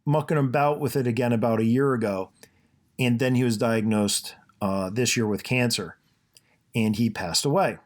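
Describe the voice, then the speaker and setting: A man hosting his own podcast, talking casually and rambling, with a soothing voice and plenty of fillers and restarts. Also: mid-range voice. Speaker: podcast host. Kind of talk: casual solo podcast monologue.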